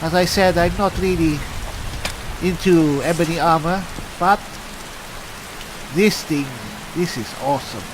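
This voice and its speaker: Man playing an unhurried, genial cleric adventurer, rain hissing. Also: a little high, close to an everyday voice. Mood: pleased. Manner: musing, conversational, unhurried. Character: genial, chatty male armored cleric adventurer. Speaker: gamer roleplaying his character. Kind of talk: in-character game roleplay narration. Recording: hissy, rain noise throughout. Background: falling rain.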